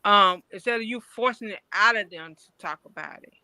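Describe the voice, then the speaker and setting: Female panel guest, Southern US accent, bright smooth voice, earnest and conversational, casual advice talk. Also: mid-range voice, a little fast, no echo, low-quality recording.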